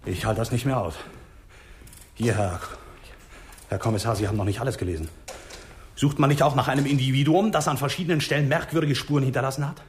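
An actor playing a nervous, fearful small-town doctor, agitated and overwrought, in a 1960s German radio crime drama. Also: male voice, medium pitch, fast, pauses often, a little hiss or hum, lightly acted. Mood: panicky.

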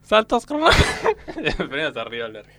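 A man with an Argentine accent and a bright, very lively voice, rehearsing comic radio lines. He slips between theatrical delivery and giggly casual banter. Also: on the high side, normal speed. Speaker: comedy radio performer.

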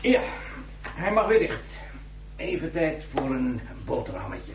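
A man playing a matter-of-fact pathologist in a radio crime drama, speaking in a measured, clinical, dry way. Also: fairly high pitch, sing-song, gravelly, far from the mic, exaggerated voice. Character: matter-of-fact male pathologist dictating autopsy findings. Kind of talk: 1990s Dutch radio drama acting. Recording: echoey room, off mic, faint hum.

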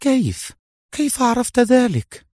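A man's voice: animated, unhurried, a little mellow, smooth, no echo, clean studio sound. A man voicing an anxious, easily piqued young female agent in an audiobook, tentative and questioning. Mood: piqued, surprised. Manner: raised pitch, questioning, tentative. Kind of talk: dramatized audiobook heroine.

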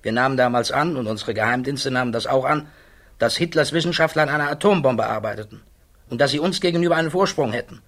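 A man playing a thoughtful, troubled physicist testifying at a hearing in a 1960s German radio play, answering in measured, precise, guarded words. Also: medium pitch, fairly bright tone, natural voice. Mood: earnest, explaining.

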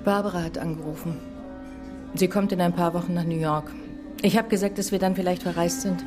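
A woman's voice: on the low side, fairly even intonation, fairly quick, music in the background, lightly acted. An actress playing a withdrawn, defensive grieving mother in a radio drama, speaking in a curt manner. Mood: dismissive.

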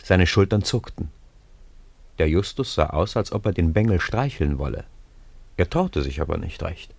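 Deep-voiced, expressive male narrator. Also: moderate pace, gravelly, dry recording. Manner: expressive storytelling with lively intonation. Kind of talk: scripted narration for a 1950s radio play.

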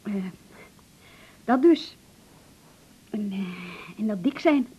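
An actress playing a nervous, self-conscious patient in a radio play, speaking in halting, faltering phrases on a dull recording. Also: female voice, medium pitch, lively intonation, warm tone, breathy edge, heavily acted. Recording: dull band-limited sound, faint noise.

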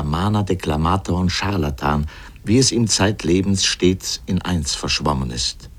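A man with a low voice delivers a scripted literary essay for radio. He comments as he narrates, pointed and sardonic, in carefully built sentences.

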